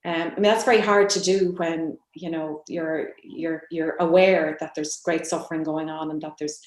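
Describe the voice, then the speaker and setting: A woman speaks on a writers' panel in a lowish voice that stays very flat in pitch. She is thoughtful and hesitant, often going back over her phrases. The room around her echoes clearly. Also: normal speed. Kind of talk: reflective panel conversation. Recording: roomy, echoing sound.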